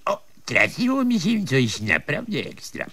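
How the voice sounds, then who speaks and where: Swooping, doddering old grandpa. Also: male voice, on the high side, wildly swooping pitch, measured pace, heavily acted. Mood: childlike, oblivious. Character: eccentric doddering old grandfather of a comic family. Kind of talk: 1970s-style comedy radio play acting. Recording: radio comedy with loud audience behind.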